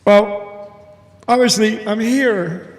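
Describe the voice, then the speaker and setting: An older man with a big, booming voice giving an earnest, halting personal testimony to a church congregation over a mic. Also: soft background music. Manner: earnest, halting, emotional storytelling. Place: church hall. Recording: echoey hall with long reverb.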